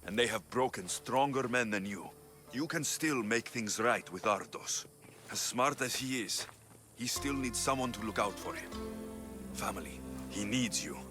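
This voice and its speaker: An actor playing a hard-edged mercenary who questions people bluntly and firmly. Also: male voice, mid-range voice, lively intonation, normal speed, music in the background, lightly acted. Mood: stern. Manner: firm, interrogating, blunt. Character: hard-edged young male Greek mercenary, commanding. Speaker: player character, mercenary. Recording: game score underneath.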